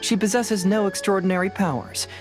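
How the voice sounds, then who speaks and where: An actor voicing a calm, analytical young investigator in a video game, speaking in a measured, deliberate and thoughtful way. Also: mid-range voice, faint music behind, lightly acted. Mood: confident deduction.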